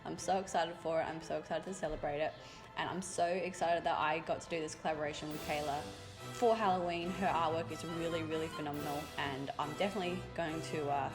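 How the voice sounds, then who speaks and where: Raspy-voiced young woman chatting while drawing. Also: low voice, fairly even intonation, fast. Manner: chatty, rambling, with fillers. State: raspy from a cold. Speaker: art youtuber. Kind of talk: casual voice-over while drawing. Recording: voice-over with loud music behind.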